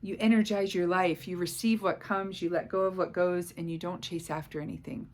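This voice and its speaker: A woman's voice: lowish voice, fairly even intonation, a little mellow. Soothing woman coaching on daily practice. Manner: slow, soothing, affirming delivery. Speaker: wellness coach. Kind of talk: guided self-help talk to camera. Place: home living room by a stove.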